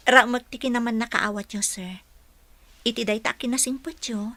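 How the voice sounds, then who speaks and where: An actress with an Ilocano accent playing a gentle, polite young woman in a radio drama. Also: fairly high pitch, fairly even intonation, moderate pace, dry recording. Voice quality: soft-spoken, polite, says sir often.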